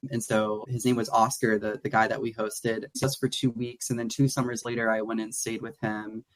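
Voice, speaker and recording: Young man, flat even voice. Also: on the low side, moderate pace, dry recording, poor audio. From Atlanta, USA. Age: young adult. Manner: rambling with frequent restarts and "like" fillers. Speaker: guest. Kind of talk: casual interview conversation.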